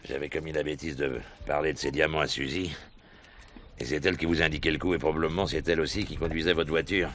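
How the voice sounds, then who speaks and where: An actor playing a cool, sardonic schemer in a 1970s French radio crime play, smooth, mockingly familiar and menacing. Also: male voice, extremely low pitch, flat delivery, quick pace, a bit bright, slight background noise. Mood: accusing. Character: cool, sardonic male schemer proposing a shady deal.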